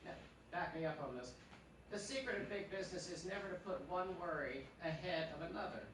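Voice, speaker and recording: A man playing a fussy, self-assured, gently bossy master of the house in a live stage radio play. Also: high voice, lively intonation, brisk. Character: genial but bossy, unflappable man of the house. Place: theatre stage, live performance. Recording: echoey distant stage, background noise.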